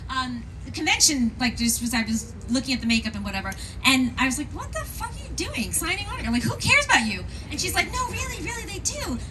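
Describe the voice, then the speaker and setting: Brief: excitable actress on a panel, a woman with a very high, swooping voice, heard from a distance. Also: fast talker, hardly any pauses, background noise. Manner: gushing, excitable, rambling. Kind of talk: live convention panel.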